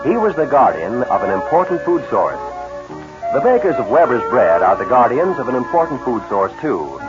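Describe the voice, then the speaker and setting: A man's voice: mid-range voice, very animated, normal speed, over loud music. A man reading a scripted 1940s radio commercial with an earnest, polished salesman's delivery. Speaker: commercial announcer. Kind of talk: 1940s radio advertising read. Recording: dull 1940s radio recording.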